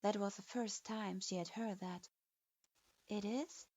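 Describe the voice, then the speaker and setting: A woman narrating fan fiction aloud in a steady storytelling manner, recorded with a dull lo-fi sound. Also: medium pitch, normal speed, no echo.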